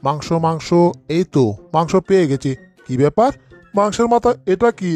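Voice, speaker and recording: A man's voice: high-pitched, animated, moderate pace, a little mellow, soft background music. A man voicing a grumbling, easily spooked bulldog in a cartoon dub, exclaiming in bewilderment. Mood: indignant.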